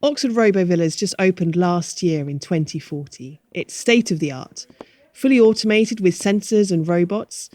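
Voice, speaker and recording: A woman's voice: lowish voice, normal speed, a little mellow, dry recording, close to an everyday voice. A woman playing a harried but polite manager of a retirement home in a radio play.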